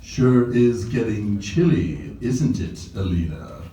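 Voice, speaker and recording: Man playing a slow, awkward lonely guy. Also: fairly low pitch, animated, warm tone. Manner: hesitant, sighing, awkward. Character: lonely, anxious, awkward man living alone. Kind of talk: live table read of a comedy screenplay. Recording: echoey room, distant mic, faint noise.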